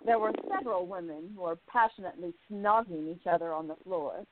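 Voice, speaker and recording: A woman's voice: fairly low pitch, fairly quick, background noise. A woman narrating and playing a relishing, gossipy society columnist in an amateur radio play. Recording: phone-like narrow sound.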